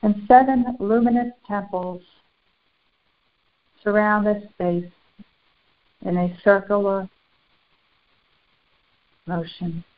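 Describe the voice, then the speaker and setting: A woman leading a guided spiritual meditation in solemn, formal, deliberate phrases with long pauses. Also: medium pitch, fairly even intonation. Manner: solemn, formal, ceremonial wording. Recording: muffled, phone-like narrow band.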